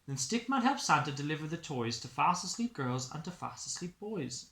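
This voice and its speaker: A man's voice: fairly high pitch, big pitch swings, moderate pace, fairly bright tone, reverberant. A man, an early years teacher, telling a picture-book story to young children expressively and explaining it simply.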